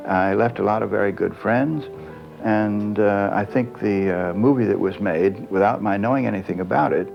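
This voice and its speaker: An older man reminiscing haltingly in a reflective documentary interview. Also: on the low side, creaky. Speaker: former magazine journalist interviewed. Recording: dull lo-fi sound, music behind.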